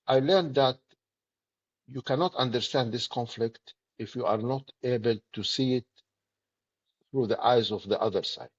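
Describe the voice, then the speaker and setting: A middle-aged man with a Palestinian Arabic accent, speaking earnestly and deliberately in short, emphatic phrases as an interview guest. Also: mid-range voice, slightly rough, dull audio. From Palestinian territories. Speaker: political activist, interview guest.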